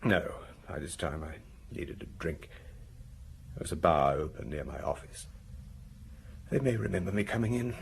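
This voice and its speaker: A vain provincial husband shaken to the core in a radio drama. His voice sits fairly low and comes haltingly, anguished and quick to defend himself. There is audible noise behind him. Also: lively intonation, creaky. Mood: numb, confessional. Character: shaken husband, a vain well-dressed provincial, wife found murdered.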